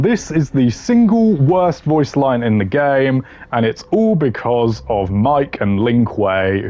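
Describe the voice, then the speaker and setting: A man's voice: mid-range voice, darkish tone. A man giving casual, opinionated gaming commentary in a blunt, slangy way, ranking voice lines. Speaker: gamer ranking game voice lines.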